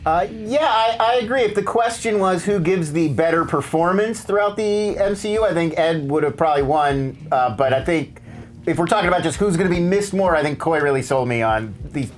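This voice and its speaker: A man with a fairly high voice speaks as a judge on a debate panel. His style is casual and conversational as he gives opinions. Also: a little fast.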